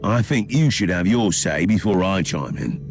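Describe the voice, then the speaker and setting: An actor playing a courteous, dryly sardonic dignitary, formal and deliberate, in a theatrical game cutscene. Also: male voice, deep voice, animated, soft background music. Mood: measured, diplomatic.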